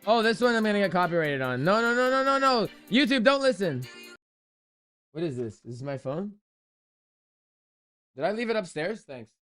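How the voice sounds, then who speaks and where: A man hosting a casual live stream, commenting in an excitable, slangy way and joking with his viewers. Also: high-pitched, moderate pace, long pauses, dry recording, clean studio sound. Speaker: livestream host doing crypto chart analysis. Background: music playlist playing.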